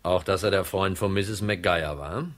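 An actor playing a cool, shrewd insurance detective in a radio crime drama, matter-of-fact and in control. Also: male voice, low voice, measured pace, a bit bright. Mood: brisk, dry.

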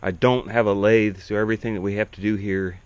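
Middle-aged man, low voice, relaxed plainspoken farm vlogger, dull lo-fi outdoor camera audio. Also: brisk, no room sound. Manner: relaxed, plainspoken, thinking aloud while working. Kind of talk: casual how-to narration. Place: outdoors on a farm yard by a woodshed.

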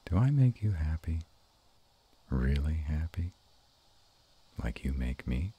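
A man playing an affectionate, bashful, gruff were-dragon lover in an intimate romantic roleplay audio, with a slow, teasing murmur. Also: very animated, dark tone, exaggerated voice. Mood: loving. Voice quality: very deep and husky.

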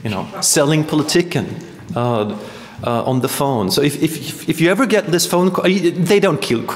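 A man's voice: fairly high pitch, normal speed. A man in his thirties from Sweden with a Swedish accent, telling a rambling story as a performance artist, full of hesitations and restarts. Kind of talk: performance monologue. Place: large hall. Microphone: stand mic. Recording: echoey hall, long reverb.

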